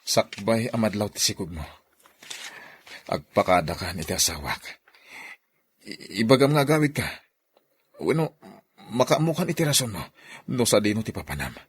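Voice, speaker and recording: A man plays a scheming husband in an Ilocano radio drama. His voice is very low and rough with husk, and he speaks with an Ilocano accent, commanding and secretive. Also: big pitch swings, moderate pace, pauses often. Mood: urgent, scheming. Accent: Filipino, Ilocano.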